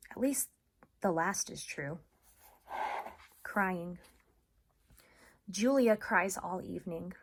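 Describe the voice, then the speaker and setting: A woman's voice: mid-range voice, husky. A woman doing an informal read-aloud of a children's story.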